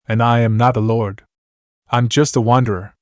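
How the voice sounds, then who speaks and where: A man voicing a reserved young male wanderer who speaks in a measured, formal way. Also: medium pitch, steady tone, a little mellow, no echo, clean studio sound, natural voice. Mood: calm.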